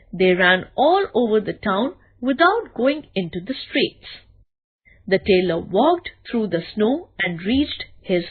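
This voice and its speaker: A woman narrating a scripted children's bedtime story in a deliberate, expressive way. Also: fairly high pitch, husky, narrow, tinny audio.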